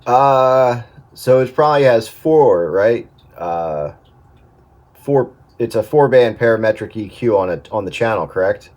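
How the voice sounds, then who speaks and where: A man's voice: mid-range voice, animated, measured pace, darkish tone, slight background noise. A man in a casual technical chat over the radio, advising on an audio setup in a hesitant, thinking-aloud way.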